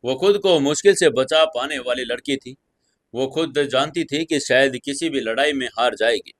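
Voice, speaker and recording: A man's voice: medium pitch, moderate pace, no room sound. A man reading a scripted romantic serial as a storyteller, with dramatized delivery. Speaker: audio story narrator.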